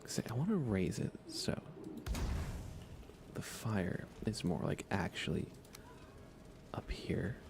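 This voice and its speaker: Gravelly low voiced guy, quiet asmr game stream chat, drops into whispers a lot. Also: sing-song, takes it slowly, pauses often. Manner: soft-spoken, often slipping into whispers. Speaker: live streamer. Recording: clear background noise.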